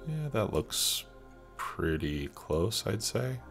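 A man's voice: on the low side, very animated, takes it slowly, gravelly. A man with a stuffed-up cold, casually commenting on his gameplay as a let's play host, thinking aloud with many fillers. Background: background music and keyboard typing.

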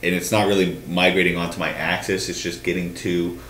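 A man's voice: deep voice, level tone, fairly quick, fairly bright tone. A man in his thirties co-hosting a bowling talk show at a studio desk, explaining at length with lots of restarts and hand gestures. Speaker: bowling show co-host answering questions. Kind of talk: casual studio chat. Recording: roomy studio echo with faint hum.

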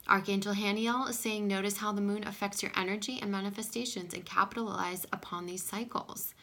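Bright-voiced woman with a scratchy throat. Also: mid-range voice, steady tone, brisk. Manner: soft-spoken, drifting into whispers, frequent "okay?". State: scratchy voice from pollen. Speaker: spiritual card reader. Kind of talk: casual oracle card reading. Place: small home room with a table lamp.

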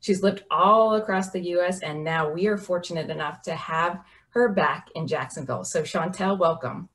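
A woman's voice: on the low side, normal speed, fairly bright tone. A woman in her forties hosting an interview over a video call from a home office, reading prepared remarks in a polished, upbeat way. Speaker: host. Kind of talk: scripted introduction and interview.

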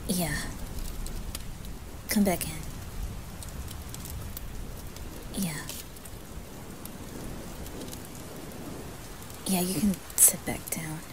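A woman voicing a guarded teenage boy in a soft, breathy ASMR roleplay, speaking in a hushed, halting way. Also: lowish voice, steady tone, background noise, natural voice. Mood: apologetic. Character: wary, guarded teenage boy who softens into apology. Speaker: roleplay voice performer. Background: rain and thunder.

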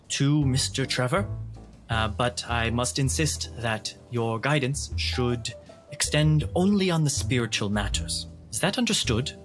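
A man's voice: medium pitch, fairly bright tone. Guy doing a stuffy polite ship officer for a tabletop rpg, guarded, very formal, music behind. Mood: stern, formal.

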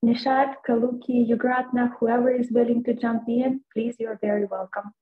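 A woman's voice: fairly high pitch, flat delivery, moderate pace. A young woman politely putting a question to a panel in a formal, courteous way over a video call. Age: young adult. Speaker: panel participant asking a question. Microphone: earbud on video call. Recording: thin phone-like video call.

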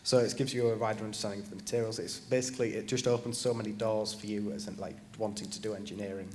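A man's voice: on the low side, steady tone, fast talker, a little mellow, slight background noise. A teenage male student on a conference panel, explaining things casually with many basicallys and likes into a handheld mic. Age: teens. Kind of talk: panel conversation answers. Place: large conference stage. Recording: reverberant conference hall.

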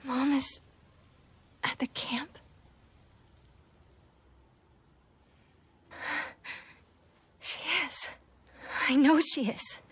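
A woman's voice: high-pitched, fairly even intonation, slow. A woman playing a frightened, naive young schoolgirl in a video game, with a childlike, hesitant delivery. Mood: hopeful, insistent. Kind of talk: naturalistic video game acting.

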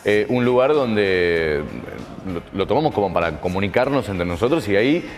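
A man's voice: fairly low pitch, animated, normal speed, soft background music. An Argentine man chatting casually and teasingly as a panelist on a TV magazine show. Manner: joking, teasing. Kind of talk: casual chat. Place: TV studio.

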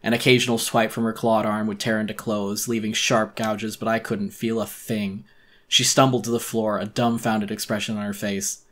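A man narrating a horror story as a dramatic audiobook reading, intense, theatrical and deliberate. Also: fairly low pitch, little pitch movement, a bit bright.

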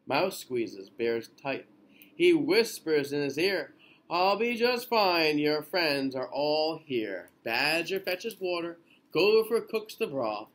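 A man in his thirties reading a children's picture book aloud in an expressive, sing-song way with drawn-out phrases. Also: on the high side. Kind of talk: children's storybook read-aloud. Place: small indoor room.

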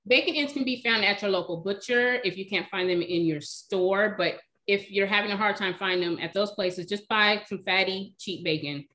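A woman in her thirties answering cooking questions in a relaxed, chatty way on a video call from her home kitchen. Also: mid-range voice, moderate pace, few pauses. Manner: relaxed, chatty, explains by eye. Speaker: cooking demonstrator, recipe blogger. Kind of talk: casual live Q&A. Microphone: video call, webcam.

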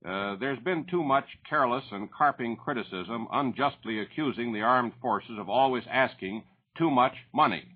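American male banker-spokesman, mid-pitched and husky, formal measured delivery, narrow vintage radio line. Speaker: banker interviewed as business spokesman. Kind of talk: formal, prepared-sounding statements.

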